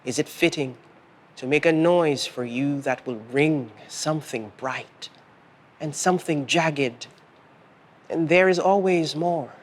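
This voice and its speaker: A man with a fairly high-pitched voice reads literary verse very slowly. He is solemn and measured, leaving pauses between short phrases. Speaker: poet reading own work. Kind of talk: measured literary poetry reading.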